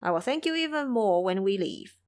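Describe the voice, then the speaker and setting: A woman voicing a brash, boastful, ancient male monkey sage in an animated audio drama. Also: mid-range voice, fairly quick. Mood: cheeky, asking favors.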